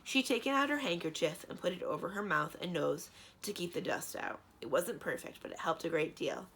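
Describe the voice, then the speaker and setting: A woman reading a children's story aloud in an expressive read-aloud style. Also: lowish voice, fairly quick, slightly rough. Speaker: library read-aloud reader.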